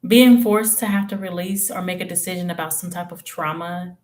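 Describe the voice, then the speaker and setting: A woman's voice: on the low side, a little fast, a little mellow, slight background noise. A woman giving live tarot readings in a candid, slangy, chatty way, thinking aloud with hums and trailing phrases. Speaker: tarot reader. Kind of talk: casual live-stream reading and chat.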